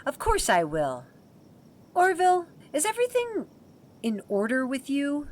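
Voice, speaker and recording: A woman's voice: sits high, wildly swooping pitch, a little slow, heavily acted. An actress playing a fussy, doting middle-aged mother in a 1920s audio drama.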